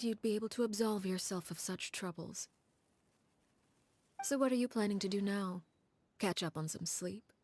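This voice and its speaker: A woman playing a composed, menacing matriarch in a video game, speaking with measured politeness and veiled threat. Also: medium pitch, a little hiss or hum, natural voice. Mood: coolly probing. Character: composed, menacing adult matriarch of a secretive house. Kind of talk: English video game voice acting.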